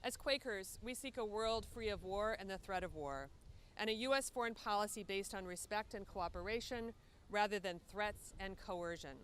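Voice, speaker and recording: A woman delivering a prepared, formal advocacy speech at a press conference in a measured, earnest manner. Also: medium pitch. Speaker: advocacy group leader speaking at press conference. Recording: steady background ambient noise.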